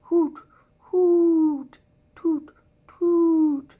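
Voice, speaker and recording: A woman acts out a little red toy tugboat from a children's storybook. He is proud and quick to take offence, announcing himself with boastful, indignant exclamations. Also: very high-pitched, steady tone, narrow, tinny audio, pitched above the performer's own voice, full character voice. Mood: frightened.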